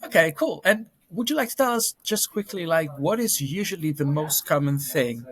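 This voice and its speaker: A man's voice: a little high, lively intonation, moderate pace, breathy edge, dry recording. A man hosting an interview podcast, asking questions in an upbeat, friendly way. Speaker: podcast host.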